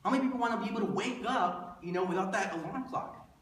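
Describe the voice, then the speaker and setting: Man, 30, motivational speaker, conversational and questioning, somewhat high voice, heavy room echo. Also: fast talker, fairly bright tone. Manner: conversational, rhetorical questions to listeners, repeats for emphasis. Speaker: motivational presenter pitching a business plan. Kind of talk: motivational presentation to an audience. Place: indoor room before an audience. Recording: echoey room, distant mic, faint noise.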